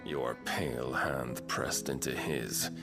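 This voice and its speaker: A man playing a cold, possessive, commanding king in a video game, with a slow, imperious and grandiose manner. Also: very deep voice, steady tone, vocal fry, over loud music, full character voice. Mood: jealous, possessive. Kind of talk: dramatic video game acting.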